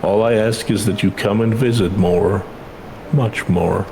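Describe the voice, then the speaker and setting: A man's voice: lowish voice, steady tone, unhurried, creaky, dark tone, slight background noise, low-quality recording. A man voicing a caring, worried and insistent mother in video game dialogue. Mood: resigned, affectionate.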